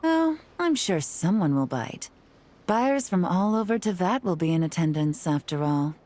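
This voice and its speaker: Secretive woman agent, game role, slightly low, composed and coy, faint background noise. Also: big pitch swings, moderate pace, a little mellow. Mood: cool, coy. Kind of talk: video game character voice acting.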